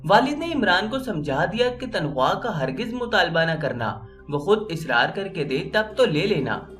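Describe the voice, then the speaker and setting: A man narrates a scripted story in a notably high voice. His intonation is very animated, moving freely up and down as he reads. Music plays behind his narration. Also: moderate pace. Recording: music under the voice. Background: background music.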